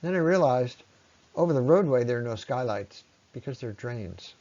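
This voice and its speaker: Guy explaining slides like a lecturer, mid pitch, pauses a lot, kinda muffled lofi sound. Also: expressive, dark tone. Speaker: presenter, ecology lecturer. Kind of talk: explanatory slide talk, conversational.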